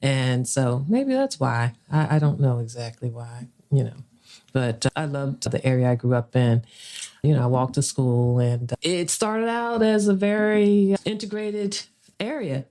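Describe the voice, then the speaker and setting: A woman's voice: rumbling low, measured pace, dark tone. Laughing woman telling her story. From Philadelphia. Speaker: guest artist. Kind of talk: casual storytelling interview.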